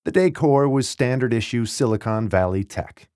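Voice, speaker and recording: A man's voice: medium pitch, moderate pace, a little mellow, dry recording. A man reading a first-person memoir as scripted audiobook narration, articulate and wry, recorded close in a dry studio.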